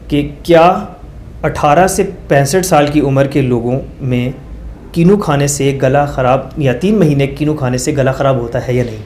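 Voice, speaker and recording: A man's voice: on the high side, darkish tone, a little hiss or hum. A male doctor explaining research methods as a guest, walking through numbered points with everyday examples and hesitant fillers. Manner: hesitant fillers, mixes English phrases.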